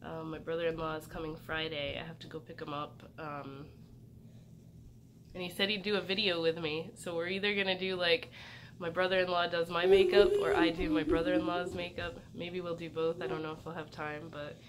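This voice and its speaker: Hoarse woman vlogging with a cold. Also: fairly low pitch, flat delivery, a little fast. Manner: rambling, many fillers. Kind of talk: casual vlog chat. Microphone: phone camera. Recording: distant mic with loud noise.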